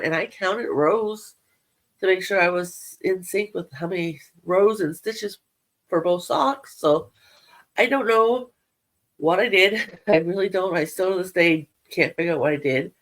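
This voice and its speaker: A woman's voice: lowish voice, moderate pace. A middle-aged woman, nasal from a head cold, chatting casually and rambling a little as she shows her knitting to viewers. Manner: chatty, rambling, self-deprecating. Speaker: yarn crafting vlogger. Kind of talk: casual show-and-tell chat.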